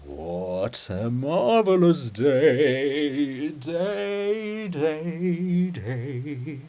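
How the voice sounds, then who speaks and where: A man voicing a gleefully morbid farce chorus, declaiming in drawn-out, exclamatory theatrical style. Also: fairly high pitch, big pitch swings, dark tone, full character voice. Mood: morbid glee. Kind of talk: theatrical dramatic reading.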